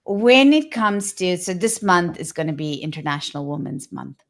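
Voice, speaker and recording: A woman's voice: on the low side, moderate pace, gravelly, a bit bright. A middle-aged woman hosting an interview, asking reflective questions with hesitant restarts. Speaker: podcast host. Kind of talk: conversational interview.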